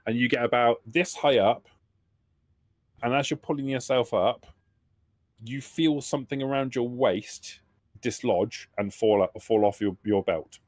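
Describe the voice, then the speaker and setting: A man narrating a tabletop roleplaying game as game master, halting and repeating phrases as he explains. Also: mid-range voice, dry recording, studio quality.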